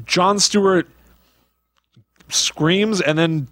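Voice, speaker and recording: A man's voice: high-pitched, steady tone, extremely slow, fairly bright tone, dry recording. Male podcast co-host, dry close sound. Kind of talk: casual chat, retelling films.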